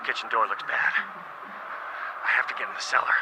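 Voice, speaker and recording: An actor playing a frightened, exhausted man besieged at home, speaking haltingly and resigned to his doom in a radio drama. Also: mid-range voice, fairly even intonation, close to an everyday voice. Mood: fearful. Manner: halting, faltering speech. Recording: heard over phone, very heavy noise.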